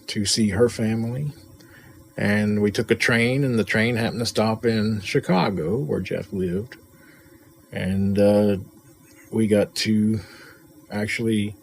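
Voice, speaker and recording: Man, low mellow voice, reflective halting tribute, noisy recording. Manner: halting, reflective, repeats himself. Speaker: friend and colleague paying tribute. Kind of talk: personal spoken tribute. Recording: noticeable background noise.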